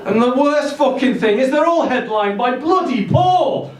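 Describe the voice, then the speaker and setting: A man's voice: extremely high pitch, lively intonation, measured pace, a crowd in the background, pitched above the performer's own voice. A man voicing a grumpy, sardonic dead rock legend from Liverpool, with a nasal Scouse accent and sweary ranting, in a live story reading. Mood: indignant. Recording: roomy distant live-event recording, noisy.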